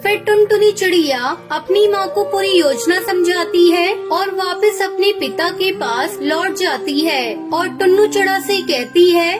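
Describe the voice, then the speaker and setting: A woman narrating a children's cartoon story in a clear, measured way, with music behind. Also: extremely high pitch.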